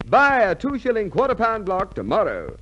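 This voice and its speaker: High, lively vintage radio ad man. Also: moderate pace. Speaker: commercial announcer. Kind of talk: scripted 1950s radio advertisement. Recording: dull vintage radio recording, faint noise.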